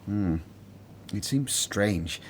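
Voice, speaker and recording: A man playing an earnest, conscientious disciple in a radio drama, speaking soberly with gentle reproof. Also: fairly low pitch, animated, extremely slow, slight background noise. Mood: thoughtful.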